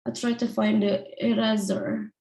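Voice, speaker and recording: A woman's voice: mid-range voice, little pitch movement, warm tone, echoey room. A woman in her thirties from Indonesia, a company founder giving an informal talk and often restarting her phrases. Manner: halting, restarts phrases, fillers like "like" and "you know". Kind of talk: informal presentation.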